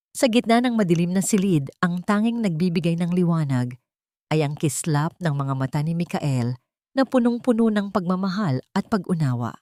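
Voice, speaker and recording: Filipino woman narrating, low-pitched and dry. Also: a little mellow, no room sound. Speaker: story narrator. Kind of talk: dramatic scripted romance narration.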